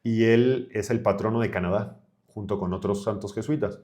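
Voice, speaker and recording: A man's voice: fairly low pitch, steady tone, normal speed, a little mellow. A man of thirty-five from Chile, a priest talking colloquially as a podcast guest. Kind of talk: conversational podcast interview.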